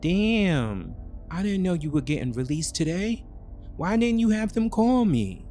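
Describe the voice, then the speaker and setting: Man acting a stammering, nervous, high-pitched cheating boyfriend. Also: very animated, measured pace, mellow, soft background music. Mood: anxious concern. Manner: stammering, pleading.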